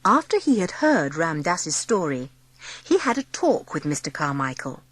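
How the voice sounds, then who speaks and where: A woman's voice: sits low, sing-song, normal speed, breathy edge, no room sound. A woman reading scripted storybook narration as the narrator of an audiobook.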